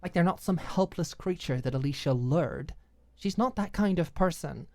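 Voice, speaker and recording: A woman narrator plays an exasperated young woman in an audiobook romance drama. She is defensive and indignant, hitting her words hard. The voice jumps well above the reader's low register, close and dry. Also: animated, moderate pace, darkish tone, no echo. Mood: tense, defensive. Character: Maud, irritated, defensive young woman fed up with girlfriend.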